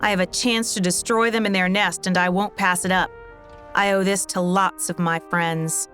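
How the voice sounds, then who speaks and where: An actress playing a tough, vengeful soldier in a video game, with a terse, commanding, sardonic manner. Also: female voice, lowish voice, fairly even intonation, moderate pace, lightly acted. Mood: determined. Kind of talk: video game cutscene acting. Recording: loud music behind the voice. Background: music.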